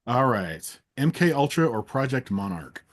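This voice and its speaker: A man hosting a casual interview, reading out rapid either-or questions in a playful, teasing way. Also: medium pitch, big pitch swings. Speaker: interviewer, host.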